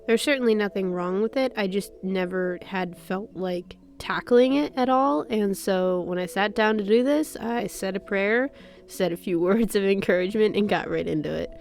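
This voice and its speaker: Stuffy-voiced young woman chatting over soft music. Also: medium pitch, moderate pace. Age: young adult. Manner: rambling and chatty, with soft laughs and fillers. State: stuffy, sick voice with a cough. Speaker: art channel host. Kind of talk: casual chat over a paint-with-me video.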